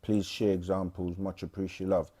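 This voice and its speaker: A man co-hosting a casual livestream, reading viewer questions aloud and answering them with frequent pauses and fillers. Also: low voice. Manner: relaxed, frequent "um" and restarts, reads questions aloud.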